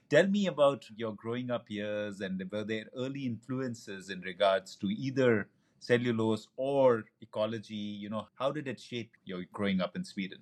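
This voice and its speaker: A man with a fairly low voice hosts a podcast interview. He is effusive, heaps praise on his guest and asks questions eagerly in a conversational way. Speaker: podcast host.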